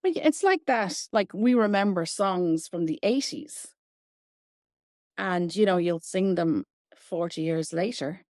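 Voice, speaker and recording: A woman's voice: lowish voice, expressive, measured pace, a little mellow, no room sound, studio quality. A woman with an Irish accent hosting a podcast interview, asking casual, chatty questions. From Ireland. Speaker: podcast host.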